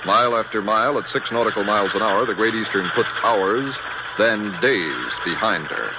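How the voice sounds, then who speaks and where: A man narrating a scripted 1950s radio drama in a measured, dramatic storytelling manner. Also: fairly low pitch, animated, very noisy. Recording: muffled 1950s radio recording, loud music.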